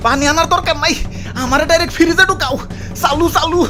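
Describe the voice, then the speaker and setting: A man's voice: extremely high pitch, fast. A man with a Bangladeshi accent playing a cheeky, grumbling cartoon hero, full of complaints and exclamations in comic dialogue. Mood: exasperated. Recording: music behind the voice.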